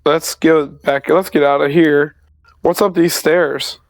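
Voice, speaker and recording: A man taking part in a tabletop game, asking plain questions about the scene. His delivery is flat with little rise and fall, and his voice is smooth. The sound is dry and close. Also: a little high, slow pace, dry recording. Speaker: player. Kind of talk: casual tabletop play.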